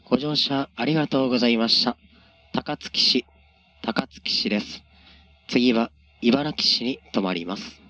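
A man's voice: medium pitch, measured pace, pushed and bright, no echo. A man working as a train conductor, giving formal, routine announcements in set phrases over the train's address system. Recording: dull lo-fi train PA.

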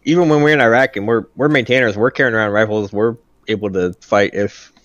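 A man's voice: fairly low pitch, quick pace, fairly bright tone, no room sound, lo-fi sound. A man who works as a Marine aircraft mechanic answers technical questions in a plain-spoken, casual way over a video call.

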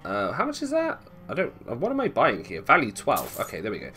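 A man's voice: medium pitch, wildly swooping pitch, brisk, bright, forceful tone, faint music behind. A man giving casual, chatty gameplay commentary, thinking aloud playfully and swearing freely. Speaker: gaming video host.

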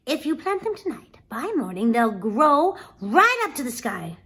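A woman's voice: high voice, sing-song, measured pace, fairly bright tone, slightly rough, heavily acted. A woman voicing a sly old male trader in a storybook, coaxing and persuasive.

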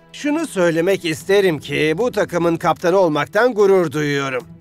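Cocky young team captain in a cartoon, showy speeches, voice a bit high, some music. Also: male voice, animated, measured pace. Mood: smug, rallying. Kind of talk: animated cartoon dub.

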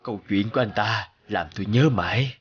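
A man's voice: lowish voice, brisk, breathy edge, muffled recording. A man narrating a scripted story as a storyteller. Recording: music bed under the voice.

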